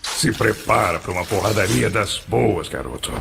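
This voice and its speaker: A hulking, brutal bounty hunter from a fan cartoon. The voice sits extremely low and rasps with heavy gravel. Music plays behind every threat. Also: male voice, a little fast. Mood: menacing. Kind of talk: animated fan film dialogue.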